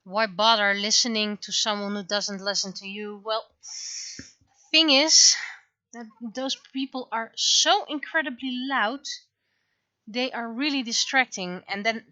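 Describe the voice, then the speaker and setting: A woman livestreamer chatting casually and venting in an animated, exasperated way, acting out imagined exchanges as she goes. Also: on the high side, no room sound, muffled recording. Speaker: livestreamer, webcomic artist. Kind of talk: casual livestream chat, ranting.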